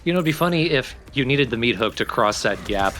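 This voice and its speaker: A man's voice: mid-range voice, animated, fairly quick, a bit bright. A man chatting casually as he comments on a video game. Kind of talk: casual banter over gameplay.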